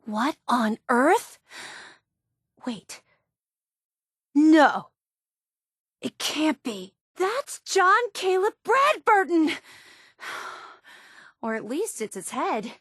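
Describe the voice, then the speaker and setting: An eager young woman, a devoted superfan in a video game. She is high-pitched and excitable, gushing with earnest enthusiasm. The sound is close and dry. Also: very slow, pauses often, no room sound, full character voice. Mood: awestruck. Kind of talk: video game character dialogue.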